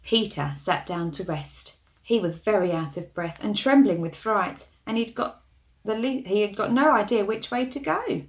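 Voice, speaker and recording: A woman storyteller reading a storybook expressively to young children, with hushed asides and gentle questions to the listeners. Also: mid-range voice, normal speed, low-quality recording.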